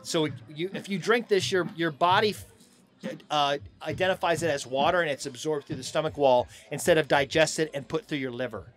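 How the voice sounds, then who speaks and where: A middle-aged American man being interviewed casually outdoors on a handheld mic, speaking in halting, stop-and-go phrases. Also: on the high side, a bit bright. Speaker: hemp farm owner being interviewed. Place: outdoors on a festival lawn. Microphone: handheld microphone.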